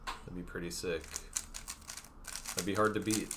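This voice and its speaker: A man chatting casually on a live stream, reading out chat and muttering to himself as he works, on a desk mic on a boom arm at a home desk. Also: unhurried, noisy background. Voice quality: deep voice. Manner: relaxed, muttering to himself while solving. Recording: music playing behind.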